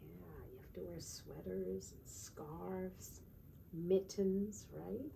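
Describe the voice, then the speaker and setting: Woman, children's storytime teacher. Soft-edged mellow tone, sing-song read-aloud with little questions to the listeners, slight background noise. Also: fairly low pitch, steady tone, extremely slow, vocal fry, low-quality recording.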